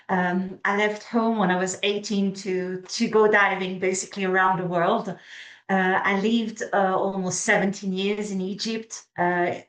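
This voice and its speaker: A woman's voice: medium pitch, fairly bright tone. Hesitant Swiss woman in an echoey room. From Switzerland. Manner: hesitant, lots of filler sounds. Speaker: guest. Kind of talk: casual interview.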